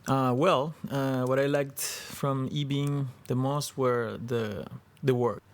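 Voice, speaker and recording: A young man from Venezuela, speaking at a mid pitch. He answers interview questions hesitantly, stopping often to search for words. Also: steady tone, slow pace. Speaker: film crew member, actor. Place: hotel room.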